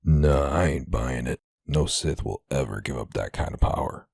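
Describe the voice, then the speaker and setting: A man playing a nasty, crude, defiant gang boss in an audio drama, gruff and sneering. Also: extremely low pitch, normal speed, darkish tone, no echo, clean studio sound, full character voice. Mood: sneering, hostile. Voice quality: gravelly, husky.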